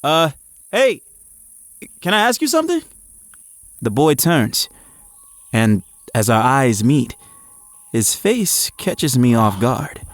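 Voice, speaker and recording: An actor playing an eager, frazzled young male athlete, narrating his own story in an audio drama with expressive, at times hesitant delivery. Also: mid-range voice, slow, pauses often, no room sound. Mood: curious, awkward. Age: young adult. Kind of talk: first-person audio drama narration and dialogue.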